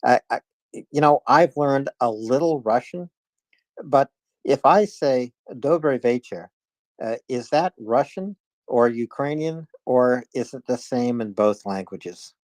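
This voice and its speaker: A man's voice: medium pitch, lively intonation, slow pace, no echo. A man in his sixties from coastal Maine, hosting a live audio call in a folksy, hesitant way with many fillers. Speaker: host. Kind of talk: casual chat on a live audio space.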